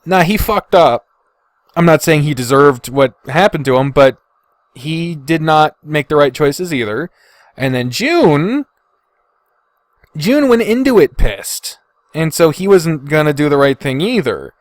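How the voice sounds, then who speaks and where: Higher-pitched man, blunt podcast talker. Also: big pitch swings, unhurried, no echo. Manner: blunt, frequent swearing. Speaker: podcast host. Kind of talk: casual opinionated podcast commentary.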